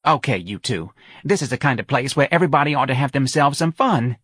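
A man's voice: mid-range voice, lively intonation, a little fast, a bit bright, breathy edge, no room sound, clean studio sound, voice pushed up. A man voicing a tipsy, folksy mother of a grown son, chatting in a bar. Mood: coaxing.

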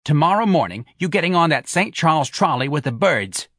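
A man voicing a loud, blunt, working-class middle-aged mother who scolds her grown son in a shrill voice. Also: big pitch swings, a little fast, no echo, studio quality. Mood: scolding.

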